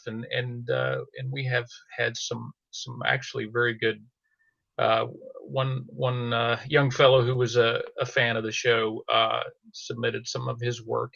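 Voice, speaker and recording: Halting man with creaky, gravelly voice. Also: mid-range voice, bright, forceful tone, dry recording, dull audio. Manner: halting, hesitant, repeats words. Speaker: fiction podcast host. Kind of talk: casual panel conversation.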